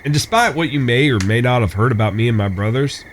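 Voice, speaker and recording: A man's voice: medium pitch, fast talker, slight background noise, close to an everyday voice. A man playing a cocky, chivalrous Old West gunslinger with folksy, courtly phrasing in a western audio drama. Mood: earnest, gentle.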